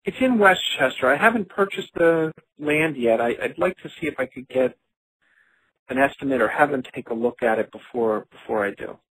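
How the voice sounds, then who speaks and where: A man's voice: mid-range voice, expressive, normal speed, a bit bright, breathy edge. A man with an American accent making a customer inquiry in a recorded phone call. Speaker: caller.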